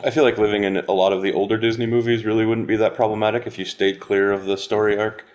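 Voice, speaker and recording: A man chatting casually on a podcast, making wry remarks in a deadpan way. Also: lowish voice, fast.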